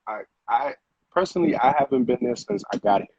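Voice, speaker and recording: A man's voice: mid-range voice, lively intonation, fairly quick, darkish tone, slightly rough, no echo. A man, talking casually as an interview guest in slangy, repetitive phrasing, on a dull lo-fi recording. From Honduras, moved to the Bronx at eight. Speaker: music producer, interview guest.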